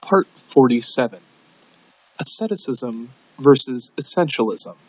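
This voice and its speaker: Man, medium pitch, amateur audiobook narrator, scripted reading, dull low-fidelity recording. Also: lively intonation, unhurried, breathy edge, no echo. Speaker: audiobook reader.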